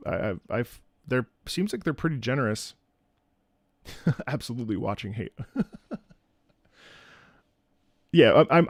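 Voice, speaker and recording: A man's voice: mid-range voice, dry recording, clean studio sound. A man streaming from home, chatting casually with viewers in a rambling, opinionated way, often repeating and restarting his phrases. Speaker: streamer and content creator. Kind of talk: casual livestream chat with viewers. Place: indoors at home.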